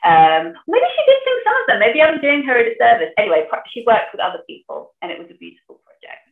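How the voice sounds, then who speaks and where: A woman's voice: fairly high pitch, wildly swooping pitch, fast talker, creaky. A woman from northeast England with an English accent, a guest author and poet talking in conversation about her work. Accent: English, with a lost Geordie accent put on for the poem. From Sunderland, northeast England. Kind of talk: conversation and poetry reading. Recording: thin phone-like sound, roomy echo.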